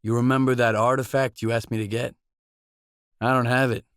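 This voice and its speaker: A man's voice: medium pitch, flat delivery, a little fast, fairly bright tone, clear, resonant tone, no echo, clean studio sound, natural voice. An actor playing a pragmatic male mercenary stalker in a video game, speaking in restrained, matter-of-fact, clipped lines. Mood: businesslike, matter-of-fact. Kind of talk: video game character dialogue.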